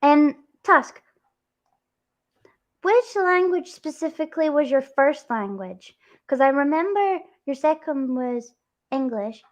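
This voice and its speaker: A woman's voice: high voice, lively intonation, takes it slowly. Giggly woman teasing her chat. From Scotland. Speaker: stream host. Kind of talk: casual livestream chat.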